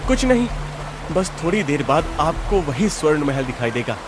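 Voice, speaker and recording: A man's voice: on the high side, big pitch swings, normal speed, over music. A man playing a respectful, assured, loyal messenger to a king in a cartoon tale. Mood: reassuring. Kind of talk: Hindi cartoon story voice acting.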